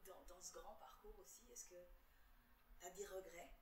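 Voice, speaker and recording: A woman's voice: lowish voice, steady tone, moderate pace, roomy sound, over a noisy crowd. A woman interviewer asking casual, playful questions.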